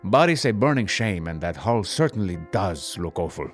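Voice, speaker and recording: Man playing a very low, stern village magistrate. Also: big pitch swings, normal speed, faint music behind. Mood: indignant. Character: stern male village magistrate, blunt and indignant. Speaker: voice actor. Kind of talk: dramatized audiobook character acting.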